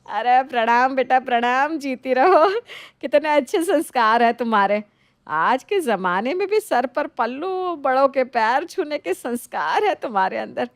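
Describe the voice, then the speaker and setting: A woman's voice: high-pitched, expressive, unhurried, no echo. A woman playing an older mother-in-law in a moral story, effusive and complaining in an exaggerated way. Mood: gushing. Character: nagging older mother-in-law, gushing over niece, scolding her own. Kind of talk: animated moral story dialogue.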